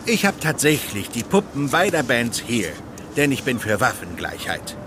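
An actor playing an eccentric puppet-maker and peacemaker in an audio drama, speaking theatrically and placatingly. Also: male voice, mid-range voice, very animated, moderate pace, vocal fry, noisy background. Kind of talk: German children's audio drama acting.

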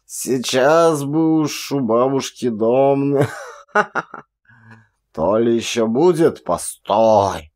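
A man performs a drunken ex-soldier from a storybook, half crazed and gloating. The voice is hoarse and drawn out, muttering threats with a chuckle. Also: mid-range voice, expressive, extremely slow, long pauses. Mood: gloating, menacing.

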